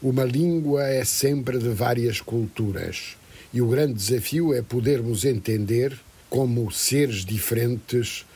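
A man reading a weekly culture commentary in a deliberate, formal way. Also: medium pitch, warm tone, breathy edge. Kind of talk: read commentary.

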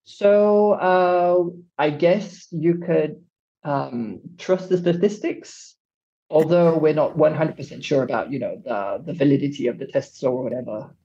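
Gravelly, hesitant Malaysian man, dull sound. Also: a little high, measured pace, mellow. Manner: hesitant, many fillers and self-corrections. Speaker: interview guest on language. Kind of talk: thoughtful radio interview answers.

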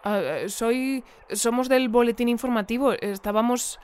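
An actress with a Spain accent playing an earnest, polite news bulletin presenter in an audio fiction mock newscast. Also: female voice, fairly high pitch, steady tone, normal speed, a little mellow, clean tone, close to an everyday voice. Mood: puzzled, concerned. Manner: polite, hesitant when questioning.

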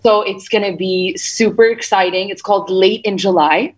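A woman's voice: medium pitch, moderate pace, rough recording. Effusive woman chatting in a radio interview. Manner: effusive, slangy, animated storytelling. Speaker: singer-songwriter being interviewed. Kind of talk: casual chat, radio interview. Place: remote video call.

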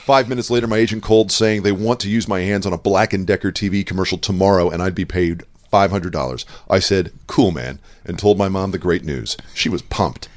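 Low-voiced guy reading his own blog out loud, totally deadpan. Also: brisk, hardly any pauses, slight background noise. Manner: dry deadpan reading, breaks into laughs. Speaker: podcast co-host.

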